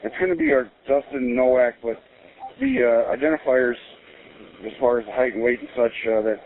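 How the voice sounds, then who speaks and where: A man's voice: mid-range voice, very animated, normal speed. A male police officer making terse, matter-of-fact procedural calls over a police radio. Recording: tinny narrow police radio.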